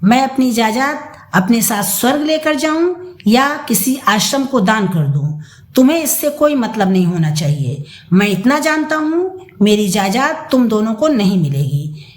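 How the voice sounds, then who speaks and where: Woman performing older father, high voice, indignant, accusing, firm, animated delivery, audio story. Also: moderate pace. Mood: stern. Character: stern, angry older father rebuking his greedy son. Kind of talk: dramatised audio story reading. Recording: roomy echo.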